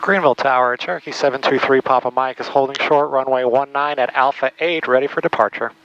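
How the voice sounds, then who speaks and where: A man's voice: medium pitch, quick pace, a bit bright, muffled recording. American man narrating from a small plane cockpit. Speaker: private pilot narrating his flight. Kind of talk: casual cockpit commentary and radio calls. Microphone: aviation headset audio. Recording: cockpit audio with engine noise. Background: engine drone.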